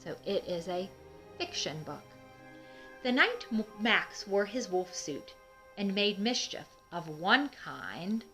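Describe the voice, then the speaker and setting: Bright-voiced, unhurried woman reading to children. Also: mid-range voice, soft background music, poor audio. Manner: asks young listeners questions, explains simply; emphatic, expressive reading. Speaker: children's read-aloud host. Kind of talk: picture book storytelling.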